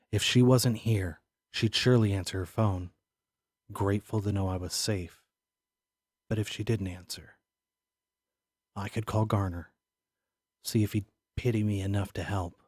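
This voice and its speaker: Man acting a husky, low-voiced haunted narrator. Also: a little mellow, no echo, clean studio sound. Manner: brooding, deliberate, literary. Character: haunted, paranoid man narrating his own unraveling mystery. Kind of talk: dramatic first-person audio drama narration.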